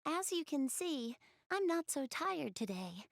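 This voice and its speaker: Anime game role, a bashful, soft-spoken goddess girl. Lively intonation with touches of creak, hesitant delivery, dry close sound. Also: high voice, a little slow, no echo, exaggerated voice. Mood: shy, hopeful. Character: shy, flustered young goddess girl, soft-spoken, easily embarrassed. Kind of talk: anime video game voice acting, addressing the player.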